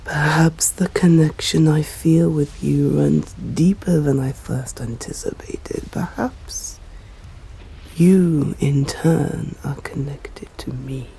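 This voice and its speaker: A woman with an English accent voicing an ancient, stern female vampire in an ASMR roleplay, speaking formally in slow, hushed whispers. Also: sits low, steady tone, gravelly, husky, heavily acted, rough recording. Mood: tender.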